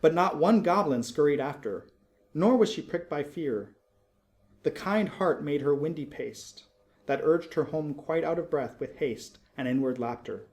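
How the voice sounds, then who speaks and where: Higher-voiced man reading narrative verse. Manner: expressive, measured phrases with deliberate pauses. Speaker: poetry reader, narrator. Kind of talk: dramatic narrative poetry reading.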